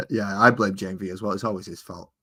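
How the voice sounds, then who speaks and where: A man's voice: on the low side, moderate pace, slightly rough. A man hosting a livestream, chatting in a jokey, rambling way with his co-host and viewers. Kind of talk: casual chat while painting.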